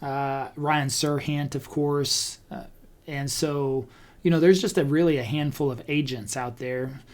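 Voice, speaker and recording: A man talking casually as a podcast host, conversational and thinking out loud as he goes. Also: mid-range voice, fairly even intonation, measured pace. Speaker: podcast host and real estate agent. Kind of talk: casual talk about online video marketing.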